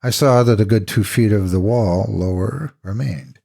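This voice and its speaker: A man narrating a scripted horror story in a theatrical, deliberate and ominous manner. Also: fairly low pitch, creaky, dark tone.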